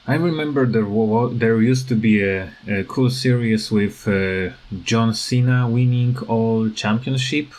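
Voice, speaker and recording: A tired man who is not a native English speaker, giving a casual unscripted rant in a halting, rambling way that repeats words. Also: mid-range voice, a little mellow, low-quality recording. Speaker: gaming video creator.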